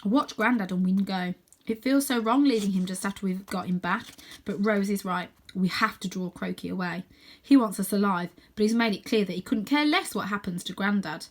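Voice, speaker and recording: A woman narrating a children's story in a dramatic, expressive, suspenseful way with clear emphasis. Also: mid-range voice, fairly quick. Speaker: storybook reader, teacher.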